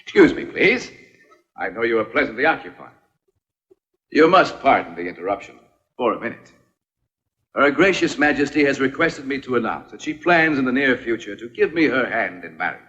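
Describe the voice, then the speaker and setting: A man's voice: mid-range voice, big pitch swings, normal speed, sharp tone, husky, highs cut off, full character voice. An actor dubbing a scheming, vengeful nobleman in a period film, theatrical, formal and commanding. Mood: formal, proclaiming. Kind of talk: dubbed period film acting.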